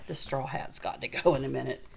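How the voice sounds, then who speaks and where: A woman's voice: extremely low pitch, fairly even intonation, fairly quick, creaky, darkish tone, a little hiss or hum. A woman, an elementary school teacher, reading a storybook aloud with folksy, informal asides and encouragement. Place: small space away from school, at a little desk.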